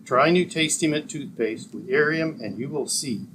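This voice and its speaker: A man's voice: on the high side, moderate pace. A man reading an old-time radio ad as the announcer, in a polished promotional manner. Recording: clear background noise.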